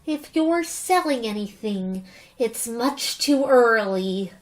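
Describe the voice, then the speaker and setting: A woman's voice: a little high, animated, takes it slowly, low-quality recording. A woman playing a suspicious, testy small-town housewife with an American accent in a radio drama. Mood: puzzled, wary.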